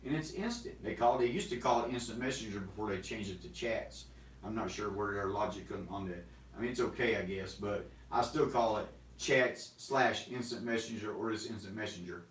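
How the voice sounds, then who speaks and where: A man in his forties hosting a casual, energetic sales talk to camera, rambling with restarts. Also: lowish voice, brisk, roomy sound, far from the mic, rough recording. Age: 40s. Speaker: host. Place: small studio room with banners and props.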